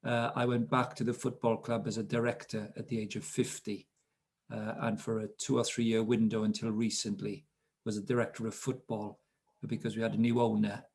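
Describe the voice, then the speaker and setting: Man, fifties, Welsh accent, gravelly, husky, anecdotal storyteller. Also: lowish voice, flat delivery, a little fast, a little mellow. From South Wales. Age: 50s. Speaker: guest speaker. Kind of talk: relaxed storytelling interview.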